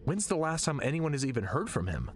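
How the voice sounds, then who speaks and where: Man playing a skeptical, animated soldier. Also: on the high side, fast, a little hiss or hum. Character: skeptical young male soldier, frank and questioning. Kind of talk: scripted audio drama acting.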